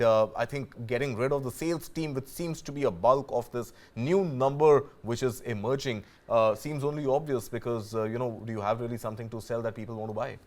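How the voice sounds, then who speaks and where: A man's voice: mid-range voice, darkish tone. A man with an Indian accent giving live analysis as a news correspondent.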